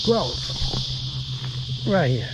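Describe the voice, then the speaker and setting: A woman's voice: low-pitched, very animated, very slow. A woman vlogging bushcraft outdoors, thinking aloud as she counts and measures her work. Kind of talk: casual talk to camera while working. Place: outdoors in the bush. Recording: outdoor recording with loud insect noise. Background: loud crickets and insects.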